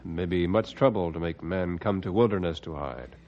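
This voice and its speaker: A man's voice: low voice, moderate pace, natural voice. An actor playing a wise, plain-spoken man of the wilderness who talks in clipped broken English, in old-time radio style. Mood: somber. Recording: dull old radio recording.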